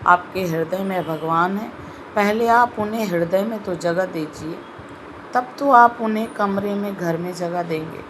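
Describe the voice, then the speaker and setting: Slow earnest woman with a sore throat. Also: medium pitch, noisy background. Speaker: spiritual video host. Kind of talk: devotional motivational talk.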